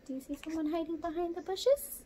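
A young woman reading a picture book aloud expressively at home, pausing to ask child listeners questions. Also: very high-pitched, mellow, slight background noise. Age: young adult. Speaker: storyteller for children. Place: small room at home, indoors.

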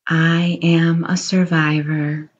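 A woman guiding a meditation in a slow, soothing voice, offering gentle reassurance. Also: low voice, little pitch movement, highs cut off. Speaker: meditation guide. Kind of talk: guided meditation.

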